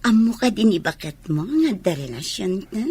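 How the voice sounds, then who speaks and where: A woman's voice: medium pitch, lively intonation, takes it slowly, darkish tone, slightly rough. A woman playing a possessive, sulking mistress in an Ilocano audio drama. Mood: sulky.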